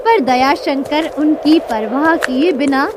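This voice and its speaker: A woman's voice: high-pitched, takes it slowly, noisy background. A woman reading scripted narration for a children's moral story. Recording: loud music behind the voice.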